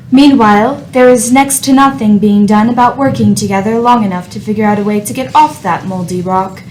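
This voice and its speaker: A woman playing a contemptuous, menacing spaceship AI in a radio play, speaking in a cold, deliberate, haughty way. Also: medium pitch, a little mellow, a little hiss or hum, natural voice, poor audio. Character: contemptuous, menacing spaceship artificial intelligence judging humanity.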